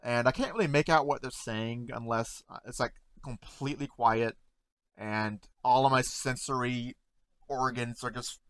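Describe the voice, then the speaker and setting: Man stuttering, halting over words. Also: mid-range voice, a bit bright, no echo. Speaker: content creator reacting to memes. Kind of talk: casual talk to camera.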